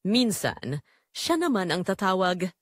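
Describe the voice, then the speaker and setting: Female narrator, Filipino accent, scripted audio drama storytelling, expressive intonation, dry close sound. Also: mid-range voice, measured pace, no echo.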